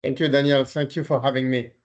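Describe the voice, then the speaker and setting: A man in his forties from Paris with a French accent, speaking politely and haltingly as an interview guest. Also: medium pitch, level tone, muffled recording. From Paris, France. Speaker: podcast guest, renewable energy executive. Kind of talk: conversational career account in interview.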